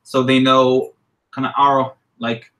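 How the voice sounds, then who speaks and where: A man with an Israeli accent, co-hosting a relaxed live chat. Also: medium pitch, slow. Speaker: co-host.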